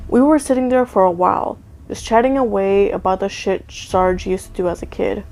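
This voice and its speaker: A woman's voice: mid-range voice, moderate pace, gravelly, darkish tone, poor audio. A woman reading a first-person story aloud in a casual, conversational way. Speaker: story narrator.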